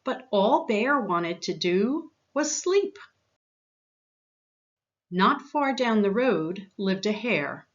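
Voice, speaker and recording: Expressive, unhurried woman reading a storybook. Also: mid-range voice, dull audio. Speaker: story reader. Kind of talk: children's storybook read-aloud.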